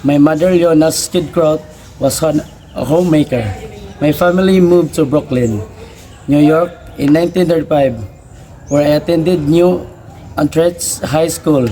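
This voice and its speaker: A man's voice: on the high side, measured pace, dark tone, low-quality recording. A young man reading out a scripted first-person biography as a student presentation, in a recited manner. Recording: background noise, ambient room sound.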